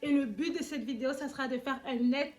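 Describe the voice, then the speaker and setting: Young Ivorian woman chatting animatedly. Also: sits high. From Côte d'Ivoire. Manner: lively, animated, quick to tease. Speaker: vlogger. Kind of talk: casual chat answering questions.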